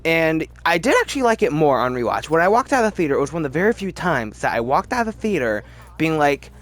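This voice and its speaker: A man's voice: fairly high pitch, fairly bright tone. A man hosting a casual, opinionated commentary video, talking in a rambling, emphatic way and often interrupting himself. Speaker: video host.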